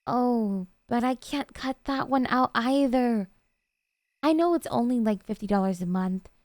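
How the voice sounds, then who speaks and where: Woman acting a soft, mellow, obsessive yandere girlfriend. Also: a little high, dry recording, clean studio sound, lightly acted. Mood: defensive, insistent. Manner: coaxing, sweetly earnest, hesitant pauses. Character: doting, obsessive, jealous young yandere girlfriend. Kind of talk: ASMR roleplay.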